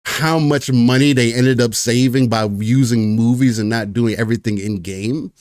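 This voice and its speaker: A man chatting about games on a podcast, his voice mid-pitched and ordinary. He rambles, restarts sentences and fills gaps with ums. The sound is dry and close, with no room around it. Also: steady tone, moderate pace, darkish tone, no echo. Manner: rambling, many fillers and restarts. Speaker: podcast host. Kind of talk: casual gaming chat.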